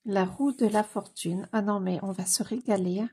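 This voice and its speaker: A woman with a cold gives casual, gushing commentary as she leafs through a book, often pausing on fillers and repeating her delight. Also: mid-range voice, dark tone, husky. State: has a cold. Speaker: coloring book YouTuber.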